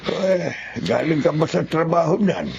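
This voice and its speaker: A man playing an elderly Filipino grandfather, caring yet stern, with a gravelly voice in a radio drama. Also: fairly high pitch, very animated, a little slow, a little mellow, full character voice. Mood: concerned. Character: elderly grandfather, caring but sternly scolding his daughter. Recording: dull lo-fi audio, clear background noise.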